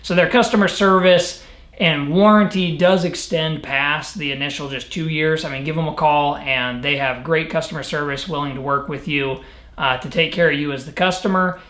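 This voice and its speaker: A man with a fairly high voice talks through an outdoor gear review in a casual, explanatory way. He sounds conversational and matter-of-fact. The recording is clearly roomy, with echo around his words. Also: fairly even intonation, normal speed, fairly bright tone. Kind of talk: casual product review to camera.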